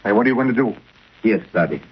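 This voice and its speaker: Anxious henchman played by a man, jittery, wide swings of pitch, old radio drama, muffled with faint noise. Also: animated, fast, close to an everyday voice. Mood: nervous. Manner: jittery, fretful. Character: nervous, anxious male henchman of criminals. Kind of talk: 1930s radio drama acting.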